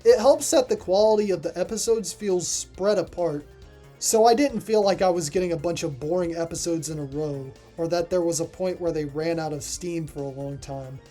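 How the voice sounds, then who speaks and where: A video essay reviewer reads scripted review narration in a mellow, relaxed voice. The pitch sits in a middle register, and faint music plays underneath. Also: normal speed.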